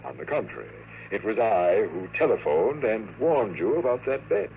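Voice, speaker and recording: A man acting a calm, menacing longevity expert in a 1950s radio drama, measured and coolly polite. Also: lowish voice, gravelly. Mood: coolly threatening. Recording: noisy, narrow-band vintage radio recording.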